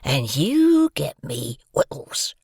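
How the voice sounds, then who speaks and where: A man's voice: medium pitch, huge pitch swings, creaky, darkish tone, husky, no room sound, clean studio sound, full character voice. A man growling as a ragged menacing convict. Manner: slow, growling, half-whispered threats. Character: menacing ragged male convict, hungry and threatening.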